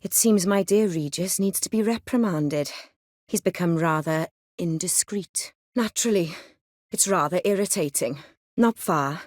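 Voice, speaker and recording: An ancient, high-born vampire lady from a fantasy game. Her voice sits a little low and is recorded very dry and close. She speaks with cool, refined poise and a worldly, faintly condescending wryness. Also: unhurried, darkish tone, dry recording. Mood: cool, wry. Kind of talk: video game dialogue acting.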